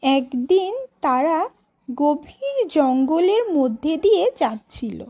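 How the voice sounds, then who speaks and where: Very high-pitched schoolchild played by a woman. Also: very animated, takes it slowly, dark tone, exaggerated voice. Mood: dutiful. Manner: careful, recited answers. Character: obedient young schoolchild answering the teacher.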